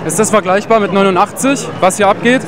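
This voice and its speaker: A man reporting from a street protest, asking brisk interview questions. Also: high voice, crowd noise. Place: outdoors at a protest.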